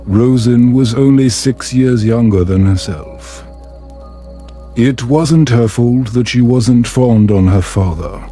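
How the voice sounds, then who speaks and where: A man reading a bedtime story as calm, scripted ASMR narration in a measured, soothing and gentle manner. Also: mid-range voice, faint music behind.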